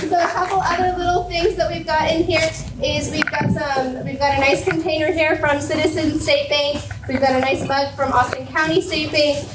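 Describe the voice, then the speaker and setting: Bubbly young woman welcoming in an echoey hall. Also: high voice. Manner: bubbly, upbeat. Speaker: chamber of commerce host. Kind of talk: casual welcome speech. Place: community hall. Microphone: handheld microphone. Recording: echoey hall, far from the mic, loud noise.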